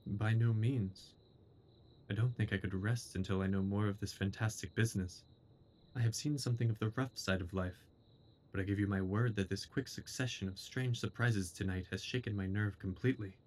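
Male actor as loyal Victorian gentleman companion, lowish voice, earnest, courteous, measured, audio drama. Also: fairly even intonation, a little hiss or hum, lightly acted.

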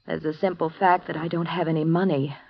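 A woman's voice: deep voice, flat delivery, brisk, a little hiss or hum. An actress playing a frightened young woman who speaks anxiously and hesitantly, in a vintage radio drama. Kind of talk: 1950s radio drama acting. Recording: dull lo-fi vintage radio broadcast.

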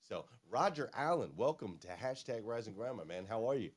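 A man's voice: medium pitch, expressive, fairly quick. A man hosting a live-stream talk show, upbeat and enthusiastic, often tagging on "man" and "right?". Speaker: show host.

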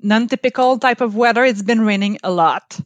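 A woman from Quebec with a Quebec French accent, a native French speaker talking as a park service guest, pausing often with fillers and restarts. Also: fairly high pitch, no echo. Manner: hesitant, frequent fillers and restarts. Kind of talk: casual interview chat. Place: home, calling in remotely.

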